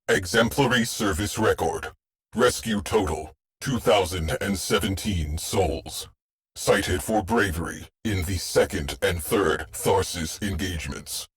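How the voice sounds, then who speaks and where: A very low-voiced, grave machine mind of a starship for a video game. He speaks slowly and with a husky rasp, in clipped, cryptic phrases that sound solemn and weary, like a terse military officer. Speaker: ship AI giving crew briefings and alerts. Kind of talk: scripted video game voice lines.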